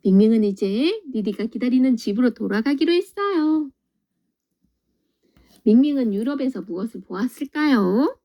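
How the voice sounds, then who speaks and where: A woman teacher reading a children's picture book aloud, her slightly high voice swooping up and down in a sing-song way. She sounds warm and mellow, gently asking young listeners questions as she goes. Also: animated, normal speed.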